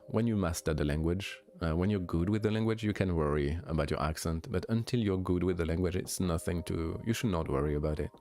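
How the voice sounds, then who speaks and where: A young man with a light French accent, a streamer chatting casually with viewers, relaxed and hesitant with many fillers and restarts. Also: sits low. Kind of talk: casual chat answering viewers.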